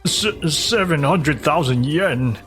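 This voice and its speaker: A man's voice: fairly high pitch, slow pace, fairly bright tone, faint music behind. A man playing a controlling, scornful older husband in a manga dub, blustering as he speaks. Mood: shocked, indignant. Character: controlling, arrogant older husband, a retired teacher. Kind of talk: acted manga dub dialogue.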